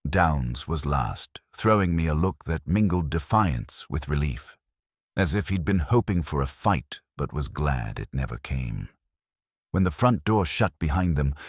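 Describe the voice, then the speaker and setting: A man's voice: extremely low pitch, slightly rough, dry recording, clean studio sound. A man reading a first-person detective story as scripted narration. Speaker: audiobook narrator.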